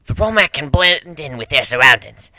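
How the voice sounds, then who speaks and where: Man as old female cat-folk elder, tough, measured, explanatory, crisp bright edge, dry, storybook reading. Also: a little high, sing-song, dry recording, exaggerated voice. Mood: informative.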